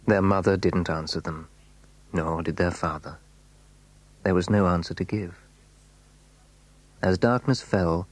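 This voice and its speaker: Deep, husky male storyteller. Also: poor audio. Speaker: narrator. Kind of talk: scripted children's story narration.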